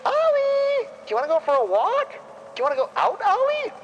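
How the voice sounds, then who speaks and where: A narrator as excited storybook mom, very high pitch, sing-song, coaxing, animated. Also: brisk, lo-fi sound, very noisy, heavily acted.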